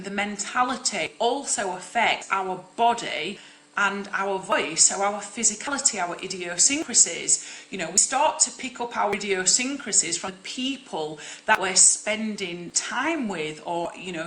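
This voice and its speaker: A young woman, an acting coach, teaching in a loud, projecting and direct way on a live stream. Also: medium pitch, animated, unhurried, echoey room, rough recording.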